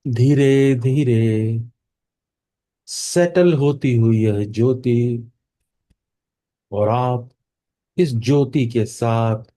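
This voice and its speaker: A man with an Indian accent leading a guided meditation in a soothing manner, leaving long pauses between phrases. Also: mid-range voice, warm tone.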